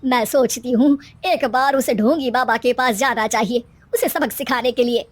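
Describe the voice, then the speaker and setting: A sharp-tongued older mother-in-law from a cartoon tale, acted by a woman, speaks with nagging emphasis. Her voice sits high. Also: moderate pace, no room sound, close to an everyday voice. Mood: resolved.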